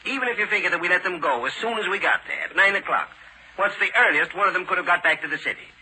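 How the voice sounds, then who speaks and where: An actor playing an older American police inspector, gruff, excitable and emphatic, in 1940s radio drama style. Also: male voice, high voice, quick pace, full character voice. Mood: baffled, insistent. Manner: barking, emphatic. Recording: noisy 1940s radio recording.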